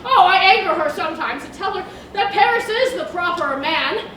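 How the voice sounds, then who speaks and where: A woman playing a garrulous, comic old nurse on stage, theatrical and exclaiming, teasing and complaining with drawn-out groans. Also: very high-pitched, expressive, bright, forceful tone, exaggerated voice. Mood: playful teasing. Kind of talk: Shakespearean stage acting. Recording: distant, very echoey room with background noise.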